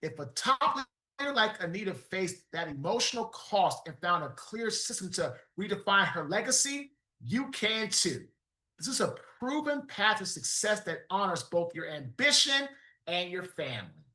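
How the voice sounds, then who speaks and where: Male podcast host, punchy motivational delivery, bright voice, roomy sound. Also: high-pitched, sing-song, normal speed. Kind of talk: podcast interview with ad read.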